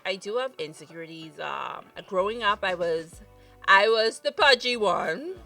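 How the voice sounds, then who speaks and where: Lively woman talking a little off-mic. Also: medium pitch, unhurried, vocal fry, recorded from a distance, soft background music. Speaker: podcast guest. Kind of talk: casual chat.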